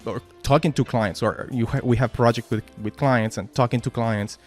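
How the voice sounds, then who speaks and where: Spanish-accented man giving a halting pep talk. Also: mid-range voice, steady tone, faint music behind. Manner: halting, many restarts and "right?" tags. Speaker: team lead giving a motivational talk. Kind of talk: informal motivational talk at a work meeting.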